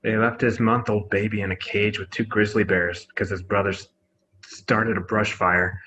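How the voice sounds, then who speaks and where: A dull, slightly noisy recording of a skeptical tech guy, acted by a man at a table read. His voice is on the low side and his delivery is matter-of-fact. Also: flat delivery, normal speed, sharp tone. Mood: dry skepticism. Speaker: actor in a screenplay table read. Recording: muffled, noisy home microphone.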